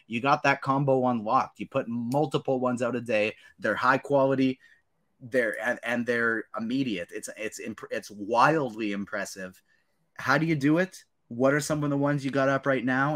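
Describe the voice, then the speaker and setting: A man's voice: mid-range voice, fairly bright tone. A man hosting a podcast in an upbeat, emphatic, enthusiastic way. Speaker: podcast host.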